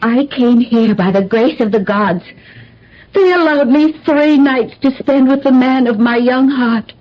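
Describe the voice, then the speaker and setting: Bitter young woman back from death, husky. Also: sits high, unhurried. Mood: anguished, accusing. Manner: anguished, accusing, solemn declamation. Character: bitter young daughter returned from the grave. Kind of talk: theatrical radio drama acting. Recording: muffled old radio drama broadcast.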